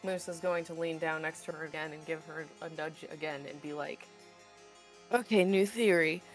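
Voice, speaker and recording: A woman with a slightly low voice plays a tabletop role-playing game. She is laconic and dry, dropping short, understated improvised lines over background music. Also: fairly even intonation, normal speed, poor audio. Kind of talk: improvised casual role-play.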